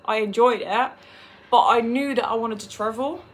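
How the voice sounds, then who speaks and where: A woman's voice: fairly high pitch, moderate pace. A woman in her twenties from the Netherlands, speaking English with a Dutch accent, talking frankly and swearing freely in a candid personal vlog. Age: 20s. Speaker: vlogger.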